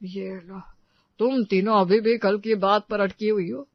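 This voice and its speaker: Man as very high-voiced fussy family man. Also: fairly even intonation, a little slow, no room sound, highs cut off. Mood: weary. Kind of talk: cartoon story dialogue.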